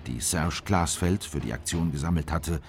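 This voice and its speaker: An actor playing a sombre conspirator recalling events as a deliberate narrator in a radio documentary drama. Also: male voice, extremely low pitch, slight background noise. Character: male memoir narrator, sombre conspirator recalling a failed plan.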